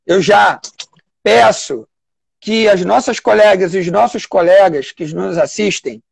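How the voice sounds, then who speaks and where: A man with a Brazilian Portuguese accent giving a live online lecture as a public defender. He explains step by step, pausing to think and restarting phrases. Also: high-pitched, creaky, husky, dry recording.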